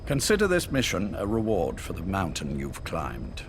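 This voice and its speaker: A genteel old spymaster. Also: male voice, low-pitched, huge pitch swings, gravelly, background noise, heavily acted. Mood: measured. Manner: measured, courteous, probing. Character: calm older male spymaster, genteel and manipulative.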